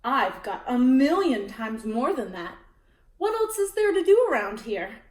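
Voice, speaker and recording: A woman voicing a bossy, boastful young boy in a storybook read-aloud, loud and pushy. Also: a little high, very animated, unhurried. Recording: roomy echo, distant mic.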